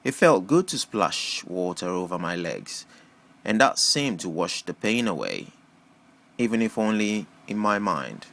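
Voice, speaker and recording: A man's voice: lowish voice, big pitch swings, a little slow, gravelly, fairly bright tone. A man reading a first-person novel aloud as scripted audiobook narration. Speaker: audiobook reader.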